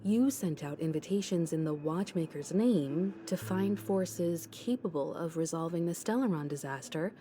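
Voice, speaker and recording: An actress playing a sharp young woman who calmly probes a hidden secret in a game cutscene. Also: on the low side, moderate pace, hardly any pauses, natural voice. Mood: probing. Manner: calm, probing questions. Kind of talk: video game cutscene dialogue. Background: game music.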